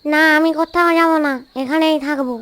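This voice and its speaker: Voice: high voice, fairly even intonation, brisk, a bit bright. A performer voicing a greedy, stubborn little ghost child in a cartoon, always demanding. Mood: stubborn refusal.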